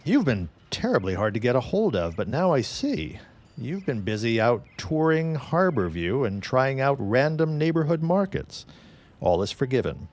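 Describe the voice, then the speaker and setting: An actor playing a courteous, earnest gentleman in a period audio drama, with a genial, expressive manner. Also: mid-range voice, normal speed, lightly acted. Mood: eager, charming. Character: courteous, earnest widowed man, eager suitor, 1910s drama.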